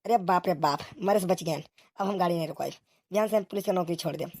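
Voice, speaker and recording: A woman's voice: lowish voice, fast, a little mellow. A woman voicing bickering village policemen in a comic cartoon, with an eastern Uttar Pradesh accent and exclamatory, colloquial banter. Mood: bantering, grumbling. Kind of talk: comic cartoon dialogue in rustic dialect.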